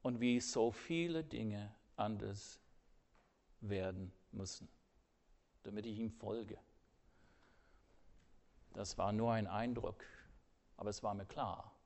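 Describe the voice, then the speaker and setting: An older man preaching a sermon earnestly, swinging between loud emphasis and hushed, quiet asides. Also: fairly low pitch, takes it slowly, gravelly, long pauses.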